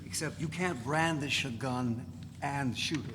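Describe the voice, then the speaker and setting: A man's voice: medium pitch, measured pace, close to an everyday voice. A man in a live dramatic reading playing a laconic radical tough guy, offhand, dry and defiant. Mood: dry, dismissive. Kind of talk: dramatic reading of a novel before an audience. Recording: reverberant hall, noisy live recording.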